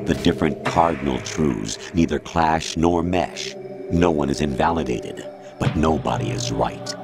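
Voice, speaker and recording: Condescending machine overlord, very deep voice, cold measured lecture, game cutscene, loud music score. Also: male voice, gravelly, heavily acted. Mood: cold lecturing. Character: cold male machine mind lecturing, mature. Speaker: game character. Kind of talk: video game cutscene voice acting. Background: loud dramatic music score.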